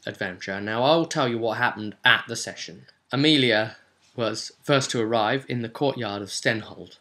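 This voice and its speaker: A man's voice: mid-range voice, normal speed, pushed and bright. A man speaking as a game master and video host, giving a chatty, explanatory recap of a tabletop role-play session with rambling asides.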